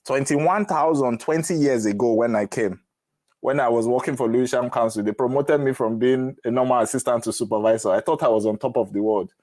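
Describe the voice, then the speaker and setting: A man's voice: medium pitch, fairly quick, darkish tone, no echo. A middle-aged man with a Nigerian accent, chatting frankly and casually as he hosts a live advice session and tells stories. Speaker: host of a live Q&A on moving to the UK.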